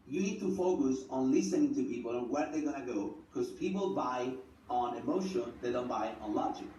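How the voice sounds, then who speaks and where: An earnest man with an Italian accent lays out his tips one by one, speaking plainly and tagging points with "right?". His voice is mellow and a little dark. It sounds roomy, dull and set back from the mic. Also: mid-range voice, far from the mic. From Italy. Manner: direct, earnest, often adds "right?". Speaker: network marketing speaker. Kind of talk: motivational talk, listing tips.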